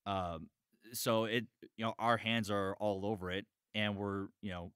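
A man's voice: lowish voice, steady tone, a little slow, fairly bright tone, clear, resonant tone, no echo, clean studio sound. A man hosting a podcast about voice acting in casual chat. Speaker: podcast host, voice actor.

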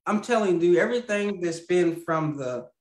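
A man in his thirties chatting as a podcast guest over a video call, rambling and laughing, often trailing off quietly. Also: high-pitched, animated, normal speed, echoey room. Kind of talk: casual interview chat over video call. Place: indoor home room with lamp. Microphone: video call, webcam.

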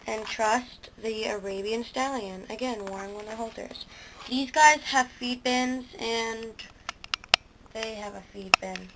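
Teen girl touring her toy horse barn, kinda high voice, slow and full of ums, handheld cam close up, faint hiss. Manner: slow, hesitant, lots of ums and self-corrections. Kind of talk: casual show-and-tell tour. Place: indoors at a homemade model stable. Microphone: handheld camera close to the toys.

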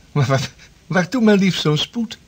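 An actor playing an ardent young sea explorer, tender with his betrothed, in radio drama with a husky, impassioned delivery. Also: high voice, animated, slow pace, full character voice. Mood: teasing, tender. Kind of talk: Dutch radio drama acting.

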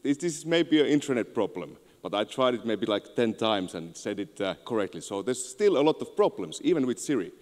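A man in his thirties with a Finnish accent, giving an informal, somewhat halting conference presentation on a handheld microphone. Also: mid-range voice, big pitch swings, a little mellow. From Finland. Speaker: conference speaker, tech entrepreneur. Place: on stage in a large auditorium. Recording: echoey large hall, long reverb.

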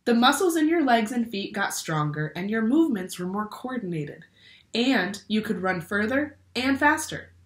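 A young adult woman reading a picture book aloud to children in a deliberate, instructive way. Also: a little high, very animated. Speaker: teacher or librarian reading to children. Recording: echoey room.

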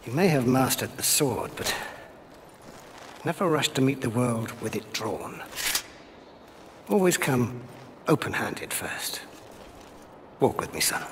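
A man's voice: mid-range voice, big pitch swings, slow pace, long pauses, background noise. Solemn elderly Roman father. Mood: solemn, tender. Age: older. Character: grave, proud elderly Roman father and statesman. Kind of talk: dramatic game cutscene acting and storytelling. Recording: loud music bed. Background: music.

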